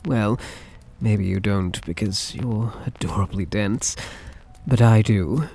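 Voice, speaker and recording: A man playing a soft, lovesick young mafia heir in an ASMR roleplay, speaking in a hesitant, tender, halting way. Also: lowish voice, creaky, husky, faint music behind, full character voice. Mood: tender, nervous confession.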